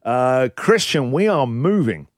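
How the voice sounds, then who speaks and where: An excitable man hosts a breakfast radio show in a close, dry studio. His voice sits at a medium pitch, and he rambles emphatically from one point to the next with lively banter. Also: slow pace, no echo.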